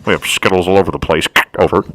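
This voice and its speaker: A man with a West Texas accent voicing a gruff adult man calling in an emergency over CB radio, booming and mock-official. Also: fairly even intonation, quick pace, slight background noise, close to an everyday voice, rough recording. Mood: mock-urgent.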